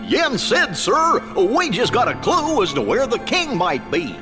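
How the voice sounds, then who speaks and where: Bumbling, folksy cartoon knight captain, goofy drawl, swooping pitch, reverberant hall. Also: male voice, huge pitch swings, unhurried, over music, full character voice. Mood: curious. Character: goofy, good-natured male cartoon animal, knight captain. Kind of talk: animated game cutscene acting.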